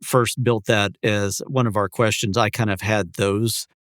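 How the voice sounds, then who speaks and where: A man co-hosting a podcast in casual conversation, explaining things in a measured, wordy way. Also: fairly low pitch, fairly even intonation, fairly bright tone, dry recording, clean studio sound. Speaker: podcast co-host.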